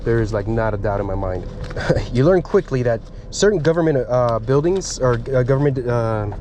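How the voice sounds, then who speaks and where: A man from Miami filming a casual self-made vlog on his phone, halting and chatty, full of fillers and restarts, talking to camera and to officials. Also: mid-range voice, lively intonation, warm tone, slight background noise. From Miami, USA. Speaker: vlogger filming a first amendment audit. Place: outdoors then in a public building lobby. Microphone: handheld phone camera.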